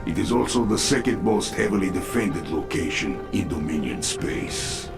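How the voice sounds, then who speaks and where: A man playing a grim, wary infested military officer in a video game, gravelly, giving measured briefings. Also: low-pitched, lively intonation, loud music behind the voice. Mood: grim, urgent. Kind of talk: video game cutscene acting.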